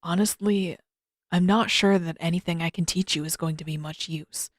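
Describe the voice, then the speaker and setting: A woman voicing a reserved, earnest teenage prince in an understated, measured and wry way for an audiobook story. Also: lowish voice, steady tone, dry recording, clean studio sound, voice pushed down, natural voice.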